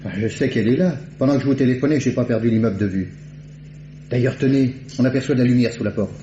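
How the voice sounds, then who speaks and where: A man's voice: mid-range voice, quick pace, dark tone, close to an everyday voice. An actor playing an urbane, insistent man investigating a case in a vintage French radio drama, polite but pressing. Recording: dull, noisy old radio recording.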